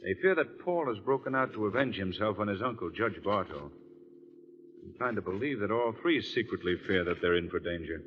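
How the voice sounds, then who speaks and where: Composed male gentleman detective, acted by a man, low, widely inflected, reflective, muffled vintage radio. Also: expressive, brisk, close to an everyday voice. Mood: pensive. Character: thoughtful, composed gentleman sleuth. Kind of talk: old-time radio mystery drama acting. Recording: muffled old radio, faint hum.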